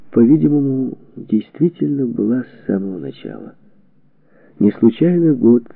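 A man narrating a historical book from a script, with measured, deliberate phrasing and pauses. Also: medium pitch, vocal fry, dry recording. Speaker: audiobook narrator. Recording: band-limited, tinny phone-like sound.

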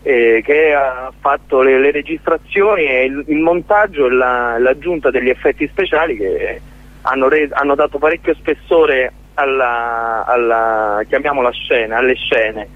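A man being interviewed as a guest about his theatre collective, talking in a halting way with many restarts and repeated words. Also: mid-range voice. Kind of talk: casual radio interview. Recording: tinny phone line.

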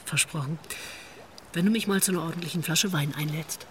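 A woman's voice: low voice, a little fast, a bit bright, slight background noise. An actress playing a wry, worldly police investigator in a radio drama, telling what she knows in a knowing manner. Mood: teasing, confiding. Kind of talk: naturalistic radio drama acting.

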